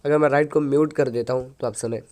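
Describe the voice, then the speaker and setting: A man hosting a software tutorial, explaining an audio editor step by step into a headset mic. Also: medium pitch, steady tone, quick pace. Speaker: tutorial host.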